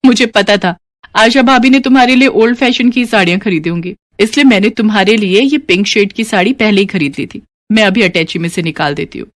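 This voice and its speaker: A woman voicing a haughty, scheming young city-bred sister-in-law in an animated story, dismissive and sprinkling in English words. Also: medium pitch, fairly quick, lightly acted. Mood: smug, persuasive. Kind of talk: animated moral story character dialogue.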